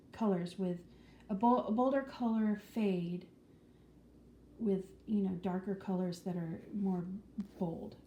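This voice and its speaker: A woman talks casually to her viewers, wandering through her thoughts with plenty of ums, restarts and the odd whisper. Her voice is very dark and mellow, at a middling pitch for a woman. It is recorded in an echoey room with a faint background hiss. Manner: chatty, rambling, frequent ums and restarts; drops to a whisper at times. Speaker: vlogger. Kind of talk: casual vlog chat.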